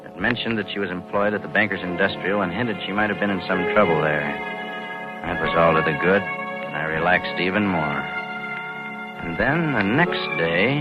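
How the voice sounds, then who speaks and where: Guy acting a cold scheming crook, american, low hard-boiled voice, old muffled radio drama w loud music under it. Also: natural voice. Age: 30s. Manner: hard-boiled, slangy, brooding. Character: cold, scheming male embezzler narrating his own crime. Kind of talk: 1940s radio noir acting, first-person narration.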